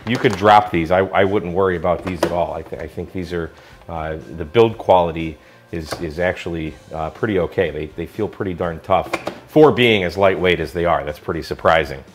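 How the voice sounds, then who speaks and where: Deep voiced american guy doing a casual gadget review, chatty and into it. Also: slight background noise. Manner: enthusiastic, colloquial. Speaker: product reviewer.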